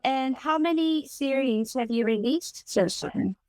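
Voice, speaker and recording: A woman's voice: high voice, a little slow, darkish tone, dry recording. A woman whose first language is Korean, hosting an interview, chatty and agreeable with many small affirmations. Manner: chatty, agreeable, lots of backchannel. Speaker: podcast host.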